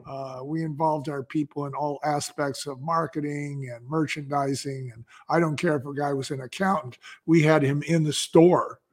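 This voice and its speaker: Higher-voiced American man, emphatic storyteller. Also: steady tone, normal speed, hardly any pauses. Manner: emphatic, uses rhetorical questions. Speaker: interview guest, company founder. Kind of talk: conversational interview storytelling.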